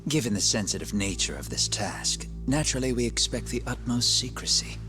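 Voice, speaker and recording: A man playing a polite, calculating criminal client in a video game drama, speaking formally and with measured care. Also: medium pitch, lively intonation, lightly acted. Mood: smooth, calculating. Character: polite, calculating, secretive client hiring gangsters for abductions. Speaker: voice actor in a video game dub. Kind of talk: cinematic crime game acting. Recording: music behind the voice.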